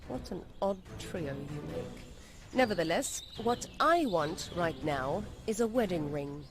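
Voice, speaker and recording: A woman playing an ancient vampire noblewoman in a video game, composed, formal and aloof in her deliberate phrasing. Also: fairly low pitch, expressive. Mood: cool, amused. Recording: music behind.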